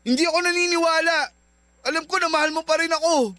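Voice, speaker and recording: A man's voice: very high-pitched, steady tone, quick pace, sharp tone, no room sound. A man playing a jealous, clingy and desperate boyfriend in a radio drama, insistent in manner. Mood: pleading. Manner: insistent, pleading. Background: music.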